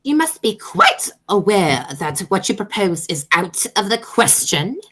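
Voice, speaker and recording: A woman playing an imperious, pompous aristocratic matron in an amateur radio play, speaking in a formal, deliberate way. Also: medium pitch, sing-song, fairly bright tone, husky, heavily acted. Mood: stern disapproval. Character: haughty, imperious aristocratic matron Lady Bracknell, coolly disapproving.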